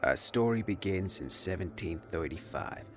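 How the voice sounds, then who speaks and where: A man's voice: low-pitched, lively intonation, normal speed. A man playing a grave, theatrical presenter, ominously recounting a monster legend in a dramatic documentary style. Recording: music bed under the voice.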